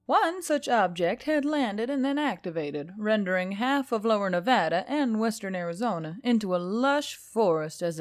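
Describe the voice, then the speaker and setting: A woman reading a scripted story aloud as a narrator. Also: mid-range voice. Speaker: audiobook narrator and channel reader.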